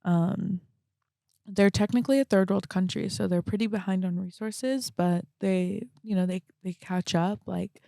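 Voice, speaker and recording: Young woman on a podcast, vocal fry, close dry sound, thinks out loud and says like a lot. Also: medium pitch, fairly even intonation, no echo. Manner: halting, frequent "like" as verbal filler. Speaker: podcast guest. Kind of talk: reflective casual conversation.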